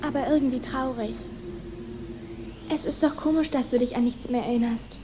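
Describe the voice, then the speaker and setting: High-voiced, earnest teenage girl played by a woman. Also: normal speed, slight background noise, natural voice. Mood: wistful. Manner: youthful, earnest, expressive. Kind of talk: German radio play acting.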